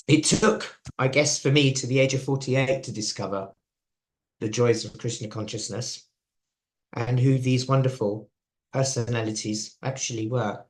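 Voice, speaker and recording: A man in his forties recounts his own journey in a reflective, unhurried way. He laughs softly now and then and often restarts his sentences, his voice sitting at an ordinary middle pitch. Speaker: devotee sharing his story. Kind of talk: personal testimony talk.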